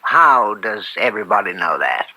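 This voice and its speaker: An actor playing a mild, principled judge and fond father, formal and gently wry, in an old-time radio drama. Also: on the low side, sing-song, normal speed, breathy edge, dull audio, full character voice. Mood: measured reproof. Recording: vintage radio drama recording.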